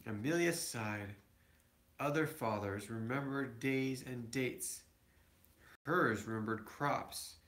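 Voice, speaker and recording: A man reading a children's picture book aloud as a father to his kids, a little halting, with casual asides. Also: medium pitch, a bit bright. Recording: echoey room, home recording.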